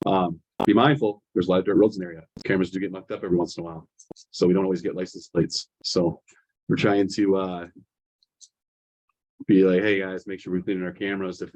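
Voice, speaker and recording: A man's voice: sits low, darkish tone. A man, a police officer, casually explaining matters to a village council during a meeting. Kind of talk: answering questions at a public meeting. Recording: dull, lo-fi meeting audio.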